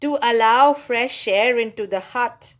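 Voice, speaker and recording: A woman voicing a loving old grandmother in a children's story, speaking in a gentle, reassuring way. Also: fairly high pitch, measured pace, close to an everyday voice.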